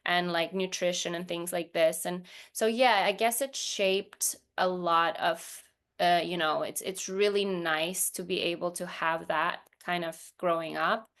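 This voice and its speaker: A woman's voice: on the low side, fairly even intonation, measured pace, fairly bright tone. A woman from Iceland, a non-native English speaker, talking casually in an interview with hesitant phrasing and frequent restarts. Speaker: podcast guest, professional dancer.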